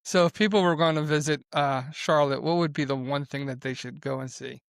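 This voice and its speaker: Guy co-hosting a podcast, lots of uhs, smooth voice, dry close mic. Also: on the high side, fairly even intonation, fairly quick, dry recording. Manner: hesitant, many uhs. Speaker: podcast co-host.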